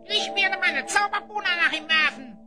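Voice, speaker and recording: A woman's voice: very high-pitched, normal speed. A woman playing a young, cheeky, wide-eyed adventurer in a fantasy audio drama. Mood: eager. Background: loud music.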